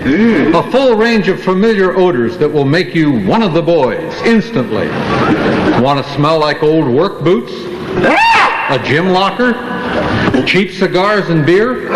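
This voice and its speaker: A man speaking as master of ceremonies on stage, delivering scripted, theatrical patter in the style of a 1940s radio announcer. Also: on the high side. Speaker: master of ceremonies at a chorus show. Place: live stage in a large hall. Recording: noisy echoey hall recording.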